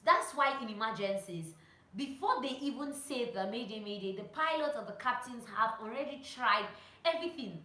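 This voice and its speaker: A woman with a fairly high, very bright voice talks casually as she teaches on a vlog. She is animated and repeats phrases to drive points home. The sound is clearly roomy and echoey. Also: moderate pace. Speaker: vlogger and pilot sharing training tips. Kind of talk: casual instructional vlog talk with acted-out anecdotes. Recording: roomy echo.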